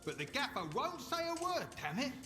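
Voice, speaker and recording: A man's voice: extremely high pitch, very animated, moderate pace, pushed and bright, in a large hall, full character voice. An actor playing a chatty, boastful wasteland trader in a video game, with a gossipy salesman's patter.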